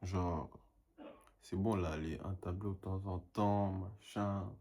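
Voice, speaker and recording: A man's voice: sits low, fairly even intonation, takes it slowly, a little mellow, a little hiss or hum. A young man and painter talking in a casual vlog monologue, rambling and self-motivating, dropping English phrases now and then.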